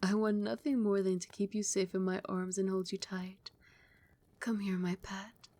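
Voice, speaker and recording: Soft, whispery vampire queen acted by a woman. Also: mid-range voice, flat delivery, moderate pace, no room sound, close to an everyday voice. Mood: soothing, protective. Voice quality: soft, breathy delivery, often dropping to a whisper. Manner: tender, soothing, regal endearments. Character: doting, possessive vampire queen soothing her beloved pet. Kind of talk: ASMR roleplay.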